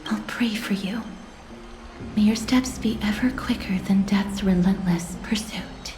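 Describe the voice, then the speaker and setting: A woman voicing a gentle, devout young priestess in a video game, soft, breathy and earnest. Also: mid-range voice, measured pace, in a whisper, natural voice. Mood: tender, earnest. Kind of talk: English video game voice acting. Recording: echoey, music underneath.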